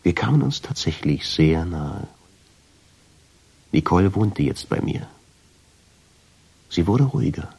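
An actor playing a brooding, lovestruck first-person narrator in a radio drama, with intense, deliberate storytelling and a husky voice. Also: male voice, low voice, very animated, darkish tone, heavily acted. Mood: reflective, confiding. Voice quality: husky, gravelly, often sinking into whisper.

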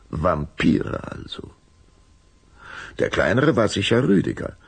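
Very deep, gravelly male storyteller. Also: no echo. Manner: dramatic, suspenseful. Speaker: narrator. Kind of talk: scripted children's story narration.

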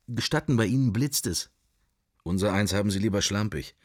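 A man's voice: lowish voice, a little fast, dry recording, clean studio sound, natural voice. An actor playing a middle-aged man musing wryly to himself in clipped, wandering thoughts in a literary radio play. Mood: wry, amused.

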